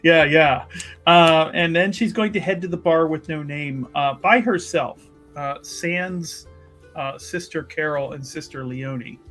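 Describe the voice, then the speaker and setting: A man's voice: a little high, a little slow, fairly bright tone, faint music behind. A man casually narrating his character's moves in a tabletop roleplaying game, with wry banter.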